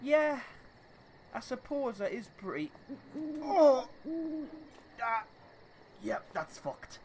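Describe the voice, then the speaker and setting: Casting: male actor as pained, whining fellow, high and swooping, slow groaning delivery, fantasy comedy. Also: big pitch swings, darkish tone, slight background noise, full character voice, poor audio. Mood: pained, grumbling. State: in pain. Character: whiny, hapless male townsman, pained and grumbling. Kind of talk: comedic fantasy audio drama acting.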